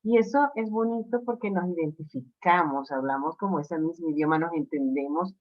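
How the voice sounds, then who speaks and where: A woman from Venezuela with a Venezuelan Spanish accent, telling a personal story in relaxed conversation. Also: sits low, normal speed. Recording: slightly dull lo-fi audio.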